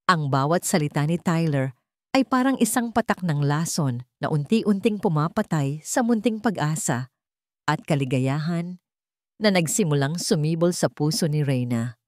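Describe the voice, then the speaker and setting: Low-voiced woman narrating a drama. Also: lively intonation, normal speed, a little mellow, dry recording, clean studio sound. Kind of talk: scripted dramatic story narration.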